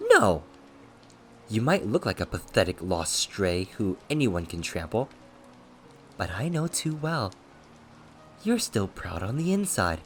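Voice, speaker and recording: A man's voice: low-pitched, slight background noise. A man voicing a gleefully unhinged, cocky male ghost who mocks and taunts in an audiobook story. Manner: cocky, mocking, manic, shouting and swooping.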